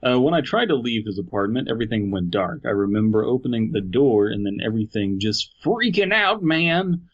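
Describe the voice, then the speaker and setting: A man's voice: medium pitch, big pitch swings, brisk, lo-fi sound. A man co-hosting a podcast, casually reading an online horror story aloud and commenting on it. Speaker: podcast co-host.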